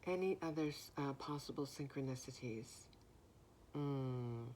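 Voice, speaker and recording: A woman giving a spiritual message in a gentle, soothing way, with a naturally deep voice that is somewhat hoarse. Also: a little slow, slight background noise. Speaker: spiritual card reader. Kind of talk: spiritual message, slow and reflective.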